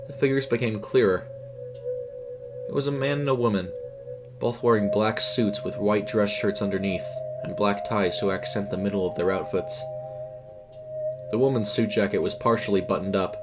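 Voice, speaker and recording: A man with a fairly low voice narrates a horror story. His delivery is measured and suspenseful, built for scripted audio fiction. Music plays behind him.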